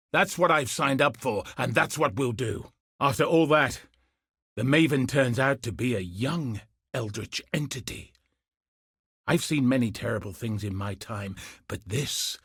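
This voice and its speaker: A grim, battle-seasoned military commander from a video game. His voice is husky and sits at an ordinary male pitch, recorded very dry. He speaks with solemn, earnest resolve, like someone bound by duty. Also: a bit bright, no room sound. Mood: resolute, then apprehensive. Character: grim, dutiful male soldier commander hunting cosmic threats. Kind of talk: scripted video game character dialogue.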